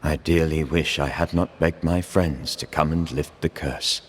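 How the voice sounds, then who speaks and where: An actor playing a regretful dead scholar who narrates a video game story in a solemn, eloquent, deliberate manner. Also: male voice, extremely low pitch, steady tone, husky, heavily acted. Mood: ominous, regretful. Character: grave, regretful male scholar narrating from beyond death.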